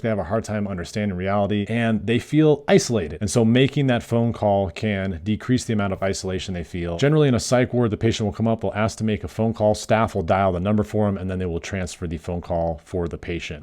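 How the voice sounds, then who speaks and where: Low, mellow man explaining. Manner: conversational, informal. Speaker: psychiatric nurse and video host. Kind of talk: explanatory talk to camera.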